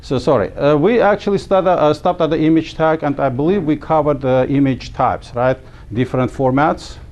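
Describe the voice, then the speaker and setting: A middle-aged man from Armenia teaching a class, talking haltingly with many fillers and restarts. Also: on the high side, a little mellow, dull audio. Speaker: instructor. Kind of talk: classroom lecture. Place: small classroom.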